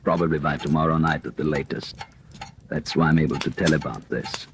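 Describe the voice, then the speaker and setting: An actor in 1940s radio drama voicing a shot, dying husband who narrates his fate in a grim, measured way. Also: rumbling low, fairly even intonation. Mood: resigned. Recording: noisy old radio broadcast.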